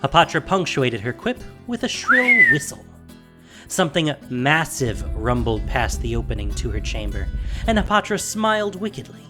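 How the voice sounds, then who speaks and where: A man's voice: fairly high pitch, very animated, moderate pace, bright, forceful tone. Male narrator of dramatic fantasy. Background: faint music.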